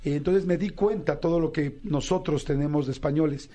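This is a man from Mexico with a Mexican accent, hosting a studio radio interview. His voice sits a bit high but stays mellow and soft-edged. He asks eager, curious questions and runs through details quickly. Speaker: radio host.

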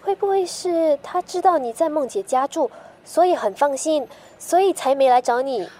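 Very high, soft-toned Singaporean girlfriend, chatty. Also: lively intonation, normal speed. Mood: chatty, curious. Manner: inquisitive, playful. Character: chatty, timid, teasing young female friend. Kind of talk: radio drama acting, conversational.

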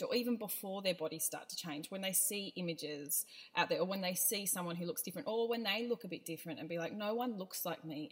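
Lower-pitched woman, effusive and informal. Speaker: podcast host. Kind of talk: casual chat.

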